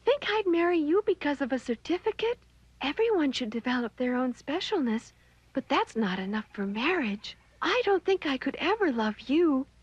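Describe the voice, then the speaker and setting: A woman's voice: high-pitched, sing-song, moderate pace, dry recording, muffled recording. A woman voicing a graceful, frank female impala who is breezily dismissive, in a cartoon story. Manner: breezily dismissive, lightly patronizing.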